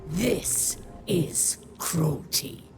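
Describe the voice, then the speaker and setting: An actress voicing a proud, bitter imprisoned alien warrior in a video game, speaking in measured, formal phrases that often sink toward a whisper. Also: female voice, fairly low pitch, lively intonation, music in the background. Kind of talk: dramatic video game dialogue. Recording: loud ambient noise behind.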